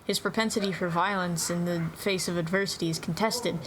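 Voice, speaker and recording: A woman's voice: fairly low pitch, steady tone, a little fast, a crowd in the background, low-quality recording. A woman doing a casual dramatic reading of a story, with chatty asides. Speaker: roleplay reader. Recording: background noise and ambience.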